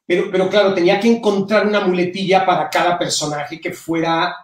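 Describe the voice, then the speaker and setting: A man's voice: sits high, level tone, brisk, recorded from a distance. A middle-aged Mexican man chats as a guest, his accent lightly colored by Spain. He often restarts his phrases as he explains things. The sound is roomy and set back from the microphone, like a video call from home. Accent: Mexican Spanish with some Spain influence. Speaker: interview guest, novelist. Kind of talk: casual interview talk.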